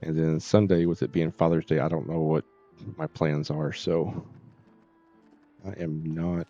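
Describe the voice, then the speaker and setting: A middle-aged man with a low, dark voice rambles easily as he streams a game. The audio is a little dull, with faint music underneath. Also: little pitch movement, measured pace. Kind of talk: casual chat while gaming.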